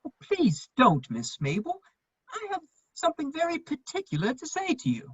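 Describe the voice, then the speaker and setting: A woman playing a charming, lovestruck gentleman in his thirties in a comedy of manners, witty and earnestly pleading, on a muffled recording. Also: high voice, wildly swooping pitch, moderate pace, no echo. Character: foppish, lovestruck gentleman just over thirty, charming wit. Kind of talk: stage comedy of manners, dramatic reading.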